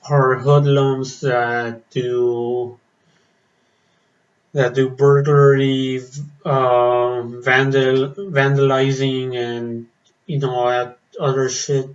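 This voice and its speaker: Halting man with a flat, slow delivery. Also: medium pitch, muffled recording. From Spain. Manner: hesitant, many fillers and restarts. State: slightly raspy from the cold. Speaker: metal vlogger. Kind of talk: casual reaction vlog. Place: outdoors in the cold.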